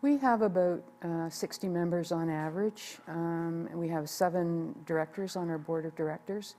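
A woman in her sixties, a ski club president, talking in a measured way with frequent fillers in a seated interview indoors. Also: on the low side, warm tone, slight background noise. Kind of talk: on-camera interview. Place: indoor room by a wood stove.

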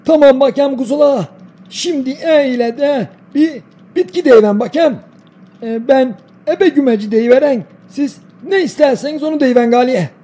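A man's voice: very high-pitched, normal speed, mellow, breathy edge. A man voicing a kindly old village grandfather in an educational cartoon, folksy and doting, with a Denizli accent from southwestern Turkey. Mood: relaxed, folksy.

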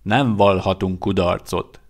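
A man voicing a seasoned male vampire who talks slowly, in a measured and dry way. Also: low voice, steady tone. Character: seasoned male vampire, gruff but warm-hearted.

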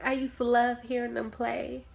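A woman's voice: a little high, level tone, normal speed, creaky, heavy background noise. A woman performing a dramatic monologue as a grieving, defensive mother, speaking in emphatic, pointed phrases. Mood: wistful. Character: grieving, defensive mother questioned about her sanity.

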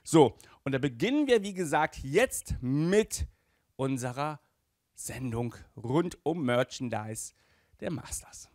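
A man, presenting casually and enthusiastically to camera as a show host, with an animated, emphatic manner. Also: mid-range voice, takes it slowly, no echo, clean studio sound. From Germany. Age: 40s. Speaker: collector magazine show host. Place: small indoor room with toy displays. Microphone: handheld microphone, later headset.

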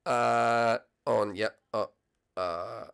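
A dopey cartoon sidekick brother, played by a man, pitched below his excitable partner, with a creaky edge. He is hesitant and halting, yet likes to tease. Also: full character voice. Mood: sheepish.

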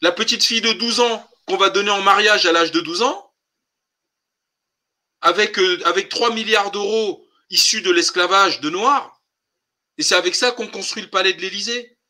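A man's voice: sits high, normal speed, sharp tone. A man hosting a political live stream, talking colloquially and insistently, with emphatic rhetorical questions. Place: online group call.

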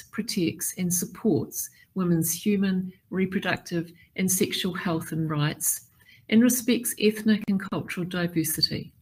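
A middle-aged woman delivering a formal prepared keynote address in a measured, deliberate way. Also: fairly low pitch, a little mellow, slightly rough, rough recording. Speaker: keynote speaker.

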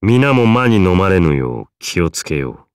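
A man playing a solemn, stoic warrior in an anime game, gravelly and gravely formal. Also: sits low, big pitch swings, moderate pace, no room sound, clean studio sound. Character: stoic, solemn male warrior with a demon sword. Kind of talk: anime mobile game voice acting.

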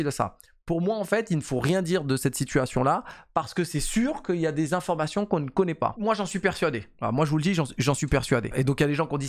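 Man, 30s, somewhat high voice, casual esports news host, emphatic and chatty, home studio mic. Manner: chatty, emphatic, many fillers and restarts. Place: home streaming room. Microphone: studio mic on boom arm.

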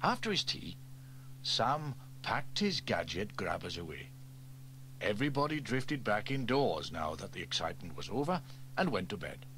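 Animated male storybook narrator. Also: fairly low pitch, normal speed, a little hiss or hum. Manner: expressive, animated read-aloud.

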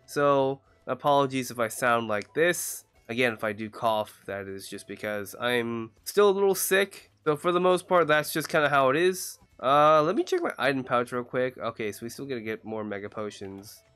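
A young man with a congested voice from a cold, casually commenting on his own gameplay and shouting out when his character gets hit. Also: mid-range voice, lively intonation, normal speed, few pauses, smooth. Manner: chatty, reacting loudly to in-game hits. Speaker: gaming channel host.

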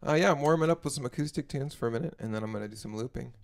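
Young guy streaming from his music room, hoarse and gravelly, quick chill chatter into the mic. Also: no echo, studio quality. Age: young adult. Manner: quick, low-key chatter between songs. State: hoarse after being sick. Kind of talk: casual chat with stream viewers. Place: small home music room, indoors. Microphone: studio mic on a stand. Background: acoustic guitar.

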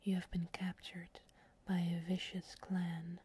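A woman narrating a scripted fantasy ASMR intro in a breathy whisper, measured and solemn like a storyteller. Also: lowish voice, monotone, dark tone, a little hiss or hum.

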